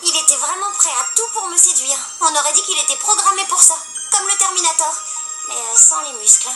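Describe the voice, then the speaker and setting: A woman's voice: very high-pitched, expressive, a little fast, roomy sound, over music. An actress voicing a chatty, ambitious, studious sixteen-year-old schoolgirl in a cartoon, with an earnest, wordy, self-assured way of talking. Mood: confiding, amused. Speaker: main character and narrator. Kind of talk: animated series dialogue and first-person narration.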